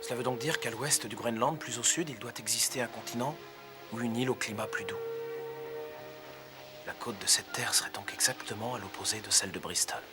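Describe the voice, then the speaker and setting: A man voicing an earnest, brave young ship's navigator in a French cartoon dub, declaiming his lines clearly. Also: medium pitch, brisk, music in the background, lightly acted. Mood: musing.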